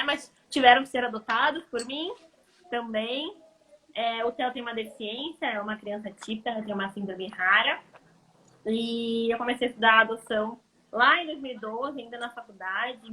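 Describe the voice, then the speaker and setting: A woman's voice: a little high, bright, forceful tone, reverberant, a little hiss or hum, low-quality recording. A woman with a Brazilian accent hosting a casual live chat, chatty and welcoming to those joining. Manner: chatty, welcoming viewers by name. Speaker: live stream host, clinical psychologist.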